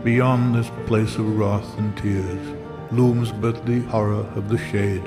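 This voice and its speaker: Male reader, lowish voice, solemn and deliberate poetry recitation beneath loud music. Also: level tone.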